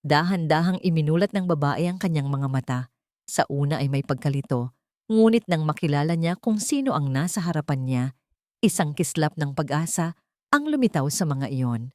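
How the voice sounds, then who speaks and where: A woman storyteller reading a scripted dramatic story with suspenseful, deliberate phrasing. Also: deep voice, lively intonation, darkish tone, dry recording, clean studio sound.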